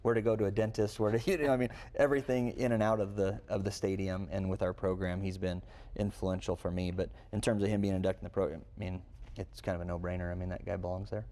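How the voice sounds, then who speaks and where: A man's voice: deep voice, little pitch movement, fast talker, mellow. A man speaking as a college coach in an interview, conversational and earnest, building points through repetition. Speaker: college baseball head coach, interview guest. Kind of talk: unscripted interview answers.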